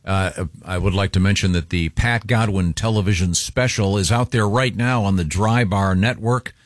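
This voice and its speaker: Low-voiced American male radio host. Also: moderate pace, dry recording, clean studio sound. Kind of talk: casual group banter on a comedy talk show.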